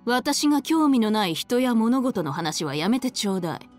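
An elegant, cruel villainess from an anime game. Her voice sits fairly high and swings expressively as she taunts with aristocratic disdain. Faint music plays behind her. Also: sing-song, a little mellow. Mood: scornful. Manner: condescending, mocking, drawn-out aristocratic taunting. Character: haughty, cruel, elegant villainess harbinger, adult woman. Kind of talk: Japanese anime game voice acting. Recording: studio voice over game music.

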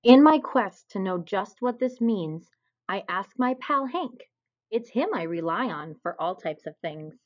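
A woman reading a children's picture book aloud in an expressive storytelling style. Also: medium pitch, a little slow. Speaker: storybook reader. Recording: dull, lo-fi recording.

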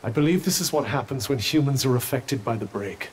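A man's voice: medium pitch, expressive, brisk, slight background noise, natural voice, poor audio. A man playing a dry, haughty magical companion in a fantasy video game, with formal, condescending phrasing. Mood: dry, sardonic. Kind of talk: theatrical video game acting.

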